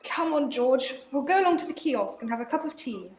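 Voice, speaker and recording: A woman's voice: sits high, expressive, brisk, reverberant, slight background noise. A teenage girl playing an insistent wife chivvying her husband. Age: teens.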